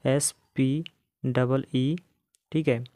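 A man's voice: medium pitch, steady tone, very slow, dark tone, no room sound. Indian man narrating a phone tutorial, dry sound. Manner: addresses viewers directly, instructional. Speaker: tech tutorial host.

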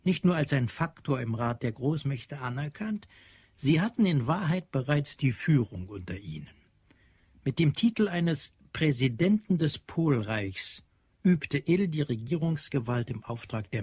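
Husky male radio play narrator. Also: medium pitch, a little mellow, no room sound. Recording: dry studio with faint music.

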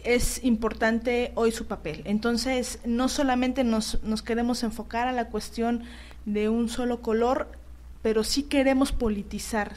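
Mexican woman, slightly high-pitched, earnest. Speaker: political activist guest. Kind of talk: earnest interview answers.

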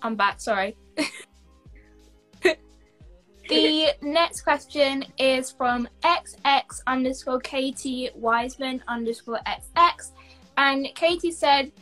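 A woman's voice: high-pitched, slow, long pauses, pushed and bright, faint music behind, low-quality recording. A young woman hosting a casual video call interview, reading out viewer questions in a bubbly, giggly way. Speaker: host. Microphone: laptop webcam on a video call.

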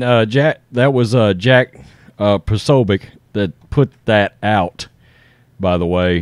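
A man's voice: on the low side, a little mellow, dry recording. A middle-aged American man hosting an online show, reading a news article aloud and adding casual off-the-cuff commentary.

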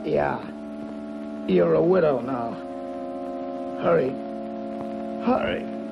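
An actor playing a badly beaten, desperate gangster, gasping in pain in a 1950s television crime drama. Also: male voice, fairly high pitch, very slow, vocal fry, heavily acted. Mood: desperate, weak. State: gasping, in pain, near passing out. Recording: old muffled TV film soundtrack, loud music.

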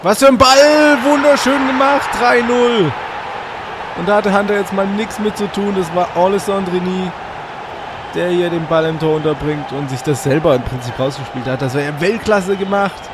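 Man, gaming host, slight cold, fairly high voice, excitable commentary, clear fan noise. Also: moderate pace. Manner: excitable, bursts into shouts at goals. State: a bit congested with a cold. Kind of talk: let's play commentary. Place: hot room at home with a fan running.

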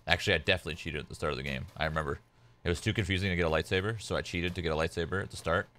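A man's voice: low-pitched, steady tone, very fast, sharp tone. A young man whose first language is Polish, chatting casually as a game streamer at home, laid-back and rambling with lots of "like" fillers. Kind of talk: casual chat while gaming.

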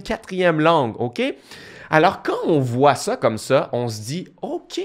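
Animated young Québécois man explaining. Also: fairly high pitch. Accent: Québécois French. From Quebec. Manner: animated, gestures a lot, thinks aloud with restarts. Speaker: online course creator. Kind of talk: casual talking-head explanation. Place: small home studio, indoors. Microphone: studio mic on boom arm beside him.

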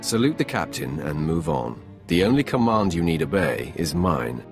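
An actor playing a commanding, imperious Sith lord in a video game, with a gravelly voice and formal, menacing delivery. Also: male voice, deep voice, very animated, exaggerated voice. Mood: commanding, composed. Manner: imperious, formal, measured wording. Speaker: military commander, player character. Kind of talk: video game dialogue acting. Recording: music under the voice.

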